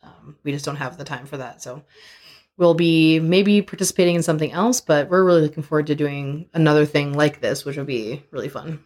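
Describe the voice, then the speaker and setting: A young adult woman co-hosting a podcast, chatting frankly and laughing often, her voice hoarse from a tiring weekend. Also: sits low, fairly quick, a little mellow. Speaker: podcast co-host. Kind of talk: casual chat.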